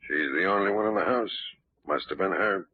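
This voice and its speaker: An actor playing a gruff, slightly dense police detective, gravelly and blunt, in 1940s radio mystery style. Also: male voice, low voice, a little fast. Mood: gruff, matter-of-fact. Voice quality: gravelly, husky. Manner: blunt, colloquial. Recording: dull vintage 1940s radio recording.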